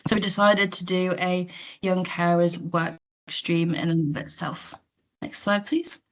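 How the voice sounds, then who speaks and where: A woman presenting slides in an online meeting, her voice croaky from illness, informal with hesitant fillers. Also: on the low side, steady tone, a little slow. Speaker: guest presenter.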